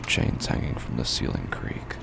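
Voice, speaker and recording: An actor playing a broken, haunted man who narrates horror audio drama slowly and grimly, his gravelly voice often sinking into a whisper. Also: noisy background, natural voice. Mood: grim, numb. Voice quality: very deep gravelly fry, often breaking into whisper. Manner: slow, grim, deliberate delivery.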